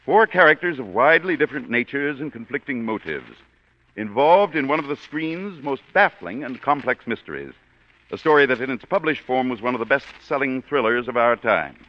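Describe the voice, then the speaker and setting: A man's voice: mid-range voice, expressive, normal speed. A man hosting a radio theater broadcast, giving a formal, florid introduction in a polished announcer manner, on a dull lo-fi recording. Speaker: radio show host and producer.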